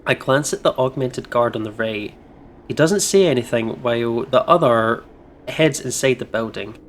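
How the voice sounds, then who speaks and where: A man streams a game, reading and commenting casually. His voice is highly animated and playful at a middle pitch, with slight noise behind it. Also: moderate pace. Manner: giggly, playful, expressive. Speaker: gaming streamer reading aloud. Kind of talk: casual let's play commentary and narration.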